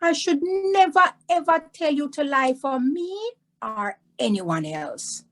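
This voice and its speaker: A woman's voice: high voice, animated, slow, pitched above the performer's own voice. A woman voicing a sheepish adult uncle, evasive and pleading, in a children's storybook. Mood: contrite. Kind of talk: storybook read-aloud.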